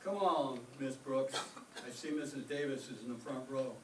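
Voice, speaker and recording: A man's voice: medium pitch, normal speed, lightly acted. A man playing a mild, earnest teacher in a radio comedy. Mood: placating. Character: mild, earnest, oblivious male teacher, Mister Boynton. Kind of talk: old-time radio comedy acting. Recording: echoey room, distant mic, clear noise.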